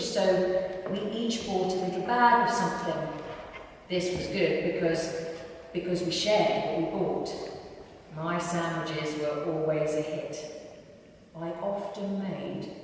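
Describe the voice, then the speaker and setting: A woman reading a written memoir aloud to an audience with deliberate, measured delivery. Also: fairly low pitch, a little mellow. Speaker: reader at a live spoken-word event. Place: large echoing gym hall. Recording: very echoey hall, distant, faint noise.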